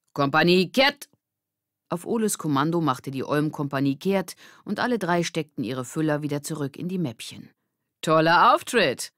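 A woman narrating a children's audiobook in a clear, measured, expressive storybook style. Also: sits low, dry recording, clean studio sound.

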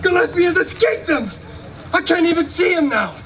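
Guy doing a folksy boastful yankee soldier, really high voice, outdoor reenactment, far from the mic w/ noise. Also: expressive, normal speed, a bit bright. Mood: alarmed. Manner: blustering, folksy, scornful. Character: proud, folksy American soldier boasting about his army. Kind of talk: live historical battle dramatization read from script. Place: outdoor stone amphitheater, far camera. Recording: distant outdoor recording with noise.

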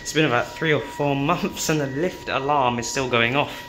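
A man's voice: mid-range voice, normal speed. A young man giving a casual running commentary on a handheld phone inside a lift. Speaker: amateur lift vlogger. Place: lifts and lobby inside a public building. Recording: handheld phone, loud background noise, clicks.

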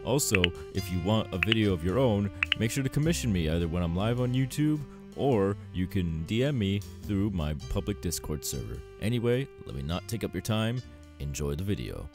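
A man's voice: sits low, big pitch swings, moderate pace. A man giving a casual channel intro as a content creator. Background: loud guitar music.

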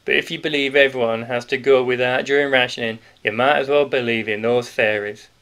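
A man's voice: mid-range voice, fairly even intonation, brisk, fairly bright tone, lightly acted. A man voicing a stout, sly, cheerful male wartime grocer with a Yorkshire accent, in a knowing, conspiratorial manner. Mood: jovial.

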